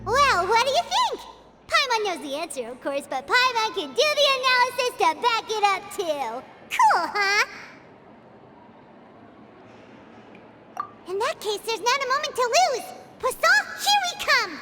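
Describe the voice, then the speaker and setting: A woman voicing a small cheerful know-it-all fairy companion with a squeaky, childlike voice, in an English game dub. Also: big pitch swings, moderate pace, loud music behind the voice. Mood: smug, eager. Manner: childlike, squeaky, speaks of herself in third person.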